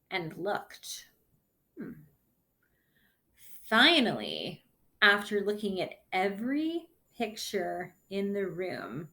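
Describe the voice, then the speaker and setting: A woman giving an expressive children's picture book read-aloud in a deliberate, explanatory manner, as if to young listeners. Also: mid-range voice. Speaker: story reader.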